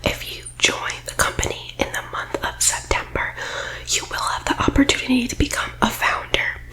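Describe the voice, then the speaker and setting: A woman whispering breathily in a persuasive ASMR roleplay, like a team leader giving a sales pitch, close to the microphone. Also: moderate pace, sharp tone, low-quality recording.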